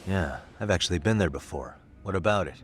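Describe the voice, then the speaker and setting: A cool, courteous investigator in a video game, with a low voice. He speaks in a measured, probing manner with soft music behind. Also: expressive. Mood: curious, composed. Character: cool, polite male investigator, composed and probing. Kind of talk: video game cutscene acting.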